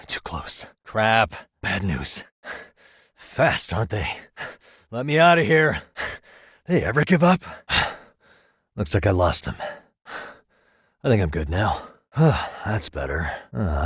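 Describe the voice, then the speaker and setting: A streetwise American detective for a video game. His voice is husky and on the low side, recorded very dry. He speaks in short, wry, casual asides and barks. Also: dry recording, heavily acted. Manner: casual, sardonic muttering with frequent sighs. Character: wry, streetwise male private detective, adult.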